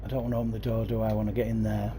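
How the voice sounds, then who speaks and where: A man's voice: fairly low pitch, flat delivery, very fast, noisy background. A man chatting enthusiastically to viewers by name as he streams a bus game, speaking into a headset mic. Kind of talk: casual livestream commentary. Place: at home playing on a console. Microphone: new gaming headset.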